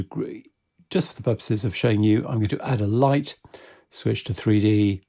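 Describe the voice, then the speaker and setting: A man with a dark, mellow voice and a husky edge walks through a software tutorial. His delivery is informal and measured, explaining each step as he goes. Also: mid-range voice. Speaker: tutorial presenter. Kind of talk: software tutorial, step-by-step screen-recorded walkthrough.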